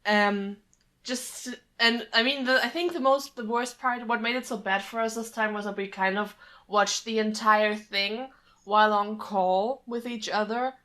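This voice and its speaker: A woman's voice: a little high, steady tone, measured pace, bright, forceful tone. A woman in her late twenties chatting casually as a podcast co-host, blunt, sweary and sardonic. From Germany. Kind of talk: casual chat.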